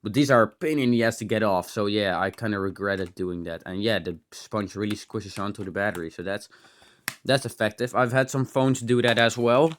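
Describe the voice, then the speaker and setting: A man's voice: on the low side, fairly quick, a little hiss or hum. A man giving a casual, unscripted hands-on gadget demo, rambling and thinking aloud with some mild swearing. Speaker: host of a tech teardown channel.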